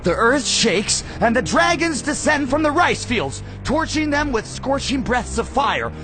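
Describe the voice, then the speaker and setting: Mock-educational cartoon narrator: earnest scripted delivery, sweeping inflection, faint music bed. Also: male voice, extremely high pitch, very animated, measured pace, heavily acted, poor audio. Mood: grand, dramatic.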